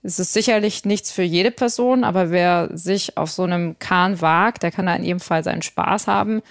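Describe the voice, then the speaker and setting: A woman presents music reviews and event previews as a podcast co-host. Her pitch is a little below average, and the recording is dry with no room sound. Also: moderate pace, vocal fry, no echo.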